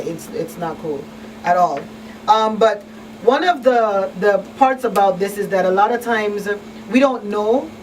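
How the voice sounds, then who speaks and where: A woman hosting a live radio talk show in a conversational style. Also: medium pitch. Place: radio studio. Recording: slightly distant mic, faint noise.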